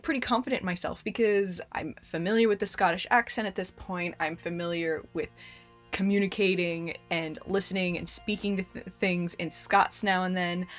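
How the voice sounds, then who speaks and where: A young adult woman with an American accent, talking casually to camera as a host in a chatty, animated way with frequent restarts. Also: medium pitch. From United States. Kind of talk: casual explanatory talk to camera.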